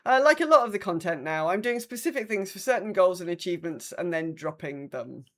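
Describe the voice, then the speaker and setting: A woman co-hosting a podcast, chatting casually in a halting, self-interrupting way. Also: fairly low pitch, animated. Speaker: podcast co-host. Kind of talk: casual chat.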